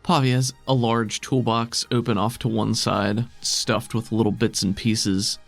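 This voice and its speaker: Creaky-voiced man running a tabletop game. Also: on the low side, level tone, measured pace, faint music behind. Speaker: game master, podcast host. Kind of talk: casual chat and descriptive game narration.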